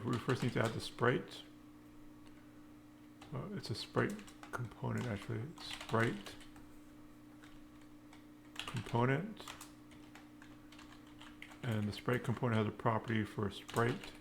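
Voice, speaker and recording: A man teaches programming at a very unhurried pace, working it out aloud in halting fragments. His mid-pitched voice has plenty of vocal fry, and the recording is noisy, with typing audible behind him. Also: fairly even intonation. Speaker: programming tutorial instructor. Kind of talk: unscripted coding walkthrough, thinking aloud. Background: computer keyboard typing.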